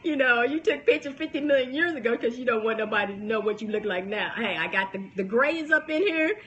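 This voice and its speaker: A woman's voice: on the high side, lively intonation, a little fast, a bit bright, lo-fi sound, slight background noise. A woman in her forties with a youthful baby voice, chatting animatedly on a phone live stream about promoting books, with lots of restarts and laughs. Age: 40s. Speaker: book promotion coach and podcaster. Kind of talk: casual live-stream chat.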